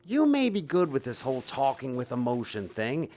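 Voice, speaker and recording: An actor playing a defensive, wistful middle-aged retired stage magician and husband in an audio drama, speaking haltingly. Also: male voice, mid-range voice, huge pitch swings, a little mellow. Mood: hurt, frustrated.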